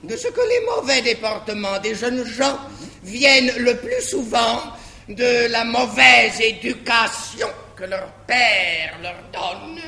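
A man's voice: very high voice, animated, vocal fry, bright, forceful tone, heavily acted. An actor playing a fussy, suspicious old bourgeois father in a classical French stage farce, declamatory, emphatic and self-satisfied. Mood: smug, lecturing. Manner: declamatory, deliberately drawn out, emphatic. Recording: echoey 1961 stage recording, faint noise.

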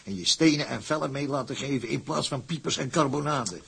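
Gruff, wary shipping director for audio drama; rough gravel voice, badly husky, plain-spoken. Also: male voice, mid-range voice, brisk, a bit bright, heavily acted. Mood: warning. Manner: cautious, warning, plain-spoken. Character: gruff, wary, hard-schooled tugboat company director. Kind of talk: Dutch audio drama acting.

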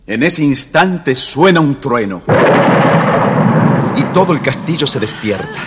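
A man's voice: mid-range voice, expressive, measured pace, long reverb tail, very noisy. A man narrating a children's story in a scripted, dramatic storytelling style.

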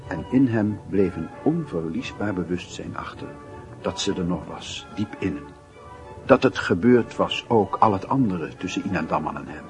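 A man's voice: low voice, darkish tone. A man narrating a literary radio drama in a solemn, introspective, dramatic manner. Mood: intense, wistful. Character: solemn storyteller of a lovesick youth's inner life.

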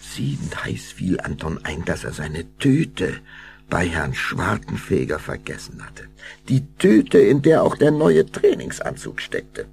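A male narrator reads a scripted children's audio drama. His voice is very deep and gravelly, with richly varied intonation. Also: wildly swooping pitch, a little slow, a little mellow, a little hiss or hum.

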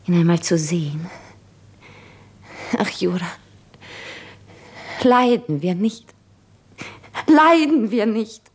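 An actress playing an earnest, anguished wife in a 1950s German radio drama, intimate and fervent, with a husky, breathy voice. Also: female voice, fairly low pitch, mellow. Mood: pleading, sorrowful.

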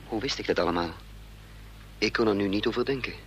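A man's voice: on the low side, quick pace, dull audio, noisy background, natural voice. An actor playing a resolute, bitter man on the run in a radio drama, with intense, clipped, dramatic delivery. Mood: grave narration. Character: hunted male amnesiac double, resolute, driven, bitter. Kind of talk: radio drama acting with first-person narration.

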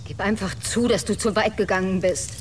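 An actress playing a cool, needling investigator and writer in a German radio drama, with dry, probing standard German. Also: female voice, mid-range voice, fairly quick, slight background noise, natural voice.

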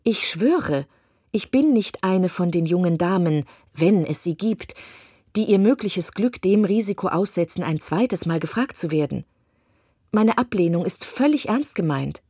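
A woman's voice: on the low side, expressive, measured pace, dark tone, husky, dry recording, clean studio sound, full character voice. A woman voicing a spirited young woman who firmly and precisely refuses a suitor, in an audiobook. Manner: firm, exasperated, precise. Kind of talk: audiobook reading of a classic novel.

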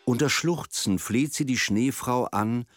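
A man narrating a folk tale for a radio drama in a measured, literary storytelling delivery. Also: medium pitch. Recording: music behind the voice. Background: music.

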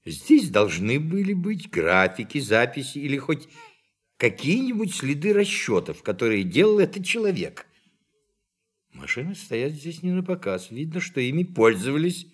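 A man's voice: a little high, very animated, normal speed, breathy edge. An actor in a Russian radio play playing a brusque, sceptical, inquisitive male professor who questions and doubts in a peremptory way. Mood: probing, suspicious.

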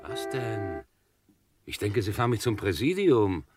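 An actor playing a sullen, defiant witness in a German radio crime drama, answering curtly and evasively. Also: male voice, on the low side, sing-song, unhurried, over loud music. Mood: defensive. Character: sullen, defiant male witness who lies.

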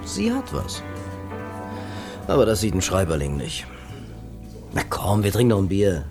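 Radio play: mocking photographer pal, animated swings in pitch, prominent background music. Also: male voice, a little slow, heavily acted. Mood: teasing. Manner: colloquial, mocking. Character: brash, teasing male photographer and buddy. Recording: music behind the voice.

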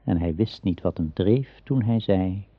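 A man narrating an Arthurian legend in a radio play, measured and solemn like a storybook teller. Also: deep voice, breathy edge, no room sound. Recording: dull old radio drama recording.

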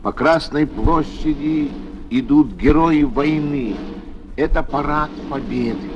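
A man's voice: a little high, slow, dull audio, noisy background. A man delivers solemn, dramatic scripted documentary narration for children, with emphatic rhetorical phrasing.

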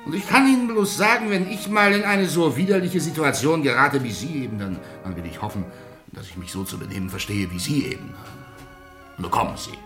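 Casting: man playing impulsive, cheeky socialite fellow, flamboyant banter, highly animated pitch, radio drama. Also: a little fast, music in the background, close to an everyday voice. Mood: contrite, earnest. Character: boisterous, cheeky, impulsive man-about-town. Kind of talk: German radio play acting.

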